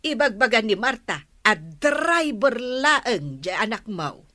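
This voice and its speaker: A woman playing a bright-voiced, nosy Ilocano village gossip. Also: on the high side, big pitch swings, slow pace, no echo, heavily acted. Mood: gossipy. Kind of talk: Ilocano radio comedy drama acting.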